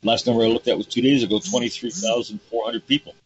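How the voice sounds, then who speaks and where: A man hosting a casual talk show, hoarse because he is losing his voice, ranting emphatically and indignantly. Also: mid-range voice, flat delivery, fast. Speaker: host. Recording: dull lo-fi audio with faint hum.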